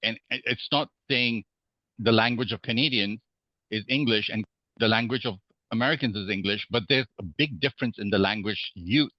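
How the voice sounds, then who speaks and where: Low-voiced man, muffled dry sound. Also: no room sound. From Hong Kong. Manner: measured, many fillers and restarts. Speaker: guest real estate investor. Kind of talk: podcast interview.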